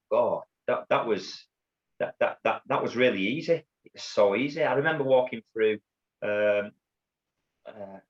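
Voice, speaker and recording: A middle-aged man with a Yorkshire accent, telling his story as an interview guest in a halting way, with many restarts and repeated words. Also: on the low side. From Bradford, England. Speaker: guest, former undercover police officer. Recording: dull lo-fi audio.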